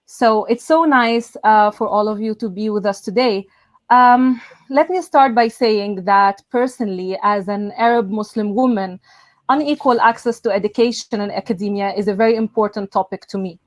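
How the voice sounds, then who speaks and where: A young woman from Egypt, a native Arabic speaker, giving an earnest, measured welcome speech as event host over a video call. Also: medium pitch, dry recording. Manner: earnest, measured, with soft filler sounds. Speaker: event host, student union president.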